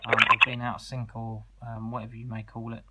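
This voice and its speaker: Man with a flat, even delivery. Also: mid-range voice, a little fast, very noisy. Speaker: online seller demonstrating two-way radios. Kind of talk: informal product demonstration.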